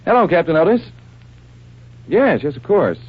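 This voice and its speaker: An actor playing a composed, inquisitive doctor-detective with a measured, authoritative manner in an old-time radio drama. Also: male voice, on the high side, very animated, slightly rough. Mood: brisk, composed. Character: composed, inquisitive male doctor and criminal sleuth. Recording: dull, hissy vintage radio recording.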